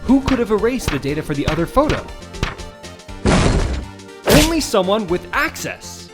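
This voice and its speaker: A man's voice: high-pitched, very animated, moderate pace, music in the background. A man voicing an earnest, dogged young defense lawyer in a courtroom game, with insistent, emphatic questioning. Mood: pressing, accusing. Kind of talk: video game fan voice acting.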